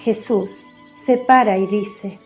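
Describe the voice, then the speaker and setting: A woman giving a measured, descriptive scripted narration as the narrator of a radio drama. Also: medium pitch. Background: faint music.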